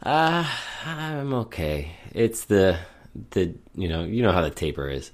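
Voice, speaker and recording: A man co-hosting a running podcast in casual chat, hoarse and a little off from a lingering sinus illness. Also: low-pitched, animated, unhurried. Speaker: podcast co-host.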